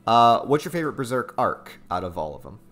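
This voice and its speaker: A man's voice: medium pitch, very animated, fairly quick, a bit bright. A man hosting a casual interview, talking in an informal, slangy way. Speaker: host, interviewer.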